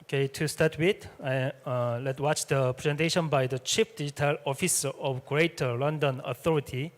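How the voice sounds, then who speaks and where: A man's voice: medium pitch, fairly even intonation, moderate pace. A man moderating a symposium session, speaking English as a with many hesitations and fillers. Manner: hesitant, frequent "uh" fillers and restarts. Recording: reverberant hall sound.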